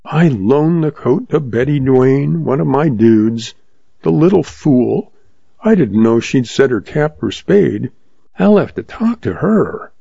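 A man voicing a spirited young ranch woman who talks quickly and teasingly, in a dull lo-fi recording. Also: very deep voice, expressive, gravelly, warm tone, husky, no room sound, exaggerated voice. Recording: dull lo-fi audio.

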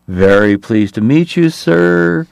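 A man voicing a huge, falsely sincere male politician who speaks in a startling high voice with smooth, pompous oratory. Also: expressive, slow, a little mellow, dry recording, studio quality, exaggerated voice.

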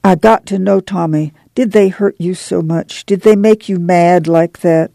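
A woman's voice: lowish voice, unhurried, gravelly, dark tone, dry recording, studio quality. A woman voicing an earnest, protective middle-aged farm mother, homespun and confiding, in an audiobook. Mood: pleading. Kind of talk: audiobook character acting.

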